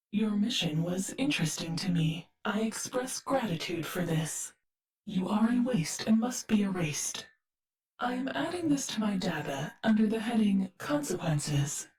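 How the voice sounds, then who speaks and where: A woman playing a curious, forgetful artificial mind in a video game, an archivist who speaks formally and precisely with measured detachment. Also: mid-range voice, a little mellow, roomy sound, close to an everyday voice, low-quality recording. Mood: detached, formal. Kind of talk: scripted video game character lines.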